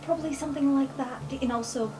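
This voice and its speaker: High-voiced woman playing her character. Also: fast talker. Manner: chatty, hesitant with many fillers. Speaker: player. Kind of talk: casual in-character roleplay.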